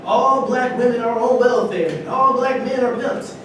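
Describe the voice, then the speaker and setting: A man playing an argumentative, embittered former football star in a staged reading of a play. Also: moderate pace. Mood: bitter, insistent. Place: large reverberant room at a staged reading. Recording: very echoey hall, distant mic, rumbling noise.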